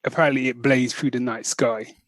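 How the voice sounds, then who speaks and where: A man's voice: mid-range voice, fairly even intonation, a little fast, fairly bright tone, dry recording. A man co-hosting a podcast, chatting casually about geek news in a jokey, slangy, conversational way. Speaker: podcast co-host.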